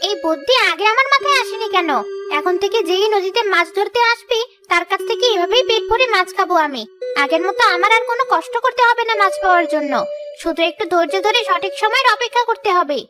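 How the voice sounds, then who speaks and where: A performer voicing a greedy, scheming female river ghost who gloats and threatens in an animated story. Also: very high voice, fast talker. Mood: scheming, gloating. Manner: gloating, menacing, talks to herself. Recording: music behind the voice.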